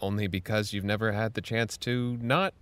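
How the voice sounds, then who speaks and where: A man's voice: fairly low pitch, fairly even intonation, normal speed, a bit bright, clean tone, no echo, lightly acted. Man acting an earnest adviser, dry sound. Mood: reassuring, insistent. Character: earnest, gently pushy male adult advising a teenager. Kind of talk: naturalistic audio drama dialogue.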